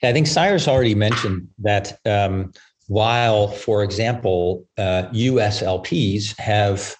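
A man with a fairly low voice answers as a finance guest in a panel interview. He explains at length in a measured way, often pausing to find the next phrase. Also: noisy background. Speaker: growth equity investor, panel guest.

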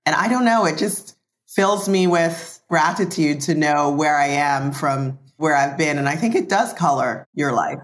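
A woman's voice: sits low, a little slow. A woman from Jamaica hosting a podcast interview, encouraging and friendly with her guest. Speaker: podcast host.

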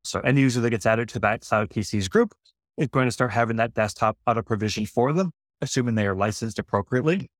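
Male tech presenter, mid pitch, dry close sound, relaxed explainer tone with self-corrections. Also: fast, dry recording. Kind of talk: screen-recorded software demo walkthrough.

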